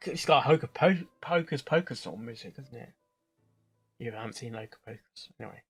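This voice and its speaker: A man hosting a reaction video, commenting off the cuff in a halting way, repeating words and phrases. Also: medium pitch, husky, faint music behind.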